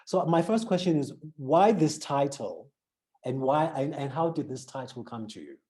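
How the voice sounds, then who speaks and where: A man's voice: on the high side, animated, moderate pace, mellow. A man with a South African accent interviewing an author, first reading an introduction and then asking questions with many restarts. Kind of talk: book interview.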